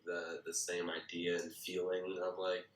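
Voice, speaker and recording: A man's voice: low-pitched, monotone, measured pace. A man giving casual feedback in a hesitant way, repeating himself as he searches for words. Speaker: participant giving script feedback. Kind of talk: casual chat. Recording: echoey room with faint noise.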